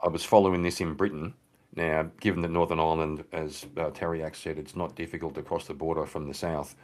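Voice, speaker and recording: Man with a really deep voice, talks slow-ish with lots of ums. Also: flat delivery, darkish tone. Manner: measured, hesitant with frequent ums. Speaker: panel guest. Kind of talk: casual panel discussion.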